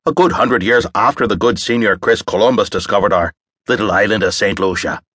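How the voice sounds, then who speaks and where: A man voicing a jolly, booming old bar owner who talks like a pirate with a touch of an Irish lilt. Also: fairly quick, fairly bright tone, slightly rough, no room sound, clean studio sound. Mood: theatrical. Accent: Irish-tinged pirate. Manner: dramatic, theatrical, booming.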